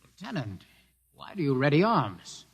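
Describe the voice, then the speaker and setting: Fervent visionary defender, oratorical, vintage radio drama. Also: male voice, a little high, very animated, moderate pace. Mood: pleading. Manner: pleading then oratorical, impassioned. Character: idealistic man defending a visionary leader. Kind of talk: 1950s radio drama acting. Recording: old radio recording in a reverberant space.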